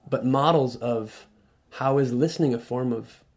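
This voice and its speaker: A man speaking as a guest on a radio show, earnest and reflective, often restarting his sentences. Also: medium pitch. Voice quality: loud voice. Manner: earnest, halting with many restarts. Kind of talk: reflective conversational talk. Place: radio studio.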